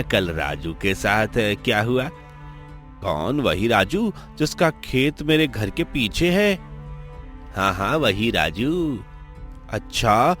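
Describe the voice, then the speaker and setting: A man's voice: lowish voice, a bit bright. A man voicing wary, curious villagers who gossip earnestly in a conversational cartoon story dialogue.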